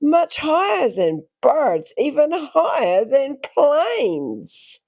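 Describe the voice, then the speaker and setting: A woman reads a rhyming storybook aloud for young children. Her pace is unhurried and her pitch swings widely, with some creak in the voice. She draws out phrases theatrically. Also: wildly swooping pitch, a little mellow. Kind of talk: expressive read-aloud of a rhyming picture book.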